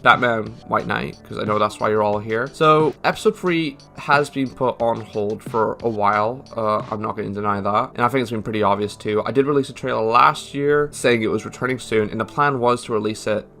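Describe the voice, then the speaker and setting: A man's voice: fairly low pitch, fairly quick, creaky, hardly any pauses, sharp tone, faint music behind. A man giving a casual, joking voice-over update in a sweary, self-mocking and informal manner. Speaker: channel creator and host.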